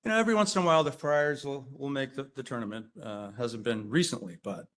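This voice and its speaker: A man's voice: medium pitch, quick pace, fairly bright tone. A man from Rhode Island giving a conference talk as an engineer. He is chatty and self-deprecating, quizzes the audience, and uses frequent fillers. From Rhode Island, USA. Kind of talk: conference presentation with audience banter. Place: conference hall.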